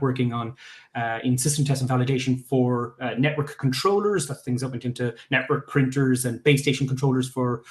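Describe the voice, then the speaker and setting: A man with an Irish accent presenting his startup on a video call, talking conversationally with frequent filler sounds and restarts. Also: mid-range voice. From Ireland.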